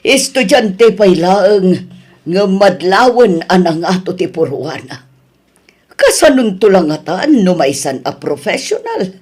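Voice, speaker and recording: A woman's voice: mid-range voice, big pitch swings, takes it slowly, a little mellow, breathy edge, exaggerated voice. A woman with an Ilocano accent playing a stern, hurt middle-aged mother in an audio drama.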